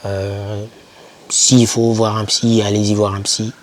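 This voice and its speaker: A man's voice: on the low side, little pitch movement, slow pace. A young man giving informal motivational advice to camera, speaking emphatically and repeating key words to encourage the listener. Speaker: YouTuber advising foreign students.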